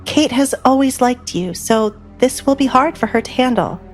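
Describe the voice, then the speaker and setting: A woman plays a poised young bride in a romance audio drama. She is polite but softly cutting, in a raised voice, over music. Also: high voice, moderate pace, pitched above the performer's own voice, close to an everyday voice. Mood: sweetly pleading. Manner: composed, polite with a sting.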